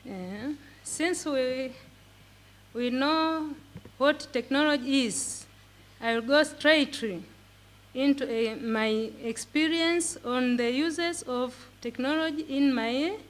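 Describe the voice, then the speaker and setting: Slow-speaking Tanzanian woman, halting delivery. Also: sits high. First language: Swahili. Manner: halting, repeating words as she searches for them. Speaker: pre-primary teacher and conference speaker. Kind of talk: prepared talk in simple English.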